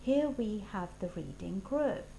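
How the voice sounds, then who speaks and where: A woman's voice: mid-range voice, big pitch swings, unhurried, gravelly, warm tone, slight background noise, poor audio. A woman reading the part of a mild-mannered, cheerfully scheming male schoolteacher in a children's storybook. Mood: showing round.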